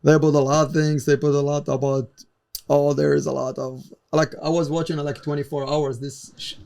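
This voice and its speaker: A man's voice: fairly high pitch, little pitch movement, a little fast, creaky, dark tone. A man from Iraq with an Iraqi Arabic accent, speaking casually as an interview guest, in non-native English with simple phrasing and frequent "you know". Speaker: guest, former soldier and interpreter.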